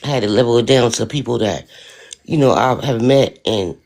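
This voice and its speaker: A woman with a deep, raspy voice, talking bluntly as a panelist in a casual live-stream discussion. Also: moderate pace, darkish tone. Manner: blunt, talks in long stories.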